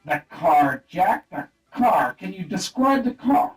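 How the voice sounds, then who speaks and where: High-voiced police sergeant. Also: male voice, lively intonation, normal speed, darkish tone, far from the mic. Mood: patient, exasperated. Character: practical, exasperated male police sergeant. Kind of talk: comic detective radio play.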